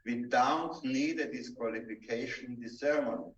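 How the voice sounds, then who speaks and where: A man with an Austrian accent, a native German speaker, presenting a seminar in halting, simple English with deliberate emphasis. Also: mid-range voice, fairly bright tone, slight background noise. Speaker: presenter instructing dog-trial judges. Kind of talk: seminar lecture. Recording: dull, echoey room, distant microphone.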